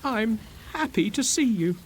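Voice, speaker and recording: A man voicing an excitable, easily startled castaway in a storybook. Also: sits high, unhurried, slight background noise, pitched above the performer's own voice. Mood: relieved. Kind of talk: storybook character voice.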